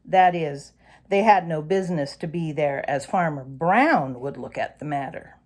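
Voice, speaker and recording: Woman, storybook narrator, expressive read-aloud, very animated intonation, unhurried pace. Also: on the low side. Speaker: storybook reader.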